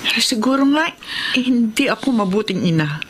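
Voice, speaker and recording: A woman's voice: fairly high pitch, animated, brisk, slight background noise, natural voice. A woman playing a troubled, guilt-ridden mother in a Filipino radio drama, emotional and remorseful.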